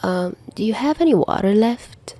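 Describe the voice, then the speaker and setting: A woman's voice: medium pitch, animated, normal speed, mellow, pitched above the performer's own voice, lightly acted. A woman voicing a cheeky, easygoing teenage boy with a playful, informal manner in an audiobook story. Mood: subdued.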